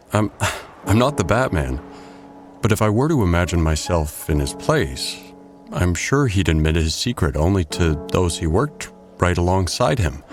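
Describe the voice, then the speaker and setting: A man playing a guarded, earnest gentleman with a secret, speaking haltingly in an audio drama. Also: low-pitched. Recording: music under the dialogue.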